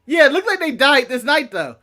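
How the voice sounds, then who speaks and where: A man's voice: extremely high pitch, big pitch swings, normal speed, bright, forceful tone. A man co-hosting a casual wrestling review podcast, joking and laughing often. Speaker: podcast co-host.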